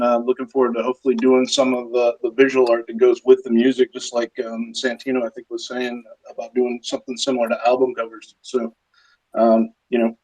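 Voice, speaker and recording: A man's voice: medium pitch, poor audio. A man speaking casually as a guest joining a live show. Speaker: visual artist calling into a live show. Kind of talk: casual chat.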